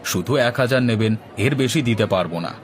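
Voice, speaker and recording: A man's voice: fairly low pitch, animated, normal speed, some chatter behind, lightly acted. A man voicing a henpecked village husband in a cartoon, placating and grumbling with dry jibes. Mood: businesslike. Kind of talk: Bangla cartoon voice acting.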